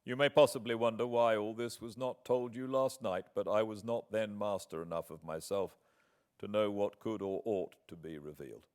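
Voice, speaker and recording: A British man reading a classic novel as a proud, wronged gentleman, with formal, measured, deliberate phrasing. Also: lowish voice, close to an everyday voice. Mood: earnest, solemn. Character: proud, wronged English gentleman writing a formal, earnest letter.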